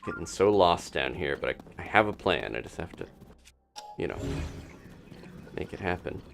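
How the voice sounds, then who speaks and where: A man's voice: sits low, lively intonation, normal speed, vocal fry, music in the background. A man giving casual live gameplay commentary as a streamer, thinking aloud as he plays. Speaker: game streamer, host.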